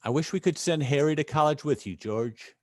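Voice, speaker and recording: A man playing a tired, gentle, principled father in a radio play, measured and wistful. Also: a little high, dry recording, studio quality, lightly acted. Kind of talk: old-time radio play acting.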